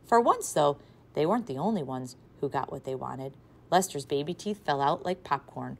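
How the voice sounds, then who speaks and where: A woman teacher reading a children's novel aloud to a class, with expressive, varied loudness. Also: low voice, moderate pace, creaky. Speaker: third grade teacher.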